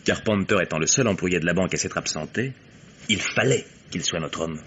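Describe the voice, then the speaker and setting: Brief: wry, self-assured private eye for a radio mystery, low register, noisy old recording. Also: male voice, brisk, fairly bright tone, muffled recording. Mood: wry, insistent. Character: confident, sly male private detective, coolly ironic. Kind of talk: French radio detective drama acting.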